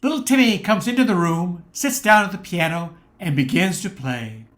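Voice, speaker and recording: A woman's voice: lowish voice, lively intonation, moderate pace. An older woman reading scripted narration as the announcer of a radio play.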